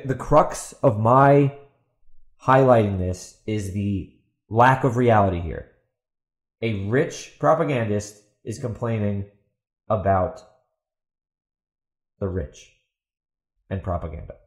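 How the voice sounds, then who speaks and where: A man's voice: on the low side, slow, long pauses, roomy sound. A man hosting a podcast, giving opinionated commentary in a sarcastic, emphatic way and repeating himself for stress. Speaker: podcast host and author.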